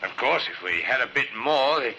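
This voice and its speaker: An actor playing a deferential, hesitant police sergeant, respectful and halting, in old radio drama style. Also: male voice, mid-range voice, lively intonation, sharp tone, highs cut off. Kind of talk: 1950s radio drama acting. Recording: old radio recording, faint noise.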